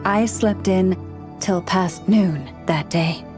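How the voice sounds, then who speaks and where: A woman voicing a gentle, earnest young woman who counsels animals in a video game, speaking in a measured, formal way. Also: lowish voice. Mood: fond, patient. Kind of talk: video game character dialogue. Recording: studio voice over background music.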